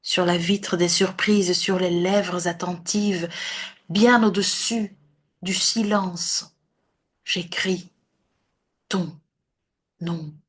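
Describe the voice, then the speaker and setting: A woman recites verse with solemn, dramatic care. Her voice sits somewhat low and is husky with breath, often sinking close to a whisper. She moves extremely slowly, setting down short phrases one by one with long pauses between them. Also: steady tone. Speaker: poetry reciter.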